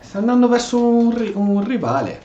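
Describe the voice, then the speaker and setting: A man's voice: very high voice, very animated, a little fast, mellow. A man with an Italian accent doing casual, informal gameplay commentary as a video host. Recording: dull, roomy sound, music behind.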